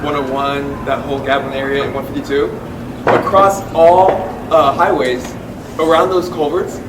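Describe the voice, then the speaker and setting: Talkative man in an echoey hall. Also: fairly high pitch. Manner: chatty, lots of "like" and self-restarts. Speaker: wildlife tracking panelist. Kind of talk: animated storytelling answer. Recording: echoey room, distant mic, noisy.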